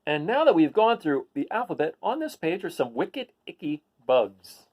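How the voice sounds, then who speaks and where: Lively man reading aloud. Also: fairly high pitch, moderate pace. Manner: asks listeners questions. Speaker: read-aloud host. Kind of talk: reading a children's picture book aloud with casual asides.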